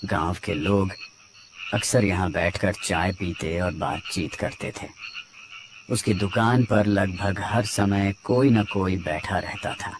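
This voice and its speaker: A man's voice: deep voice, takes it slowly. A man giving scripted narration for a horror story. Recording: clear background night ambience noise.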